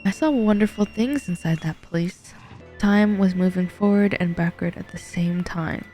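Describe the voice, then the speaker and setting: Girl streamer doing casual game reactions n reading out lines, slightly low voice, music in the background. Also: moderate pace, dark tone.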